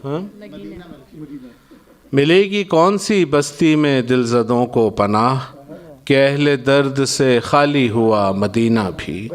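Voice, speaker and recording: A man reciting Urdu poetry live as a poet at a gathering, in a measured declamatory way, repeating lines for emphasis. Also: medium pitch.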